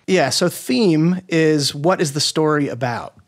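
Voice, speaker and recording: A man's voice: a little high, measured pace. A man giving casual, agreeable explanations as an expert guest, often posing rhetorical questions. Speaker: guest expert, audio drama maker. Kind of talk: casual explanatory interview talk.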